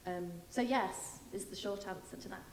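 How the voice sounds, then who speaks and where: A British woman on a panel answering a question in a measured way, with many ums. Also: mid-range voice, a little hiss or hum. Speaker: programme panelist. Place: large hall. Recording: echoey hall with faint ambience.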